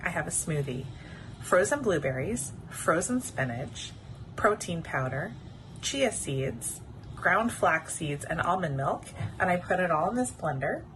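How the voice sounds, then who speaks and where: American woman from new york, lowish voice, casual message, bit of background noise. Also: a bit bright, poor audio. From New York City, USA. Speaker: listener contributor. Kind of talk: informal recorded personal message.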